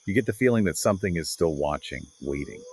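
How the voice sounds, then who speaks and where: Male co-host, deep and lively, emphatic and dramatic, conversational book-discussion style. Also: quick pace, breathy edge. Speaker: podcast co-host.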